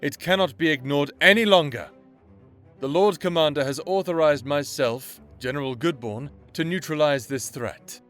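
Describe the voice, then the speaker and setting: A man's voice: a little high, moderate pace, fairly bright tone, faint music behind, lightly acted. A man playing a pompous, self-righteous general giving a formal, declamatory televised address in an audio drama. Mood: grand, solemn.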